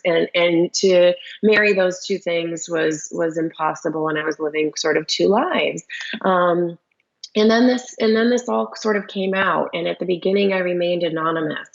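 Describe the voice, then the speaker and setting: A woman in her thirties from Michigan speaks as a podcast guest, low-pitched with a gravelly edge. She is earnest and often restarts her sentences. The recording sounds dull and lo-fi. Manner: earnest, frequent restarts and fillers. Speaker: performance coach, guest. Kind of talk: candid interview talk.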